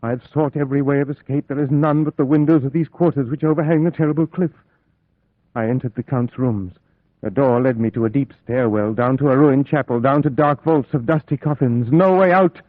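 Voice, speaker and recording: An actor playing a desperate, haunted young Englishman held captive in an old-time radio horror play, speaking with melodramatic dread and dramatic pauses. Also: medium pitch, dry recording, lightly acted. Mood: despairing. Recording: muffled old radio recording, music behind.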